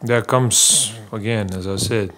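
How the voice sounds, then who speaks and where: Man, 30s, low monotone, halting speech, many fillers, postgame press conference, table mic. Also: slight background noise. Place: small press room. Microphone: table mic in front.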